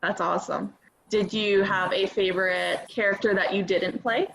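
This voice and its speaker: A woman's voice: mid-range voice, fairly even intonation, normal speed, gravelly, a bit bright, slight background noise, poor audio. A woman instructor interviewing children about their camp, asking friendly questions and answering with encouraging, upbeat words. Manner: encouraging, upbeat short replies. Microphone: video call.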